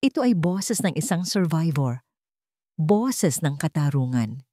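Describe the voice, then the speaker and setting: A woman with a Filipino accent narrating a scripted dramatic story in a measured, theatrical way, phrase by phrase. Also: low-pitched, big pitch swings, mellow, no echo, studio quality.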